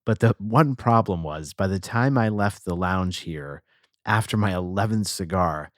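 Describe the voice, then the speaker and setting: A man co-hosting a podcast, telling a lively anecdote in casual chat. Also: low voice, measured pace, dry recording, studio quality. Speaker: podcast co-host. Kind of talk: casual storytelling. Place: cigar lounge.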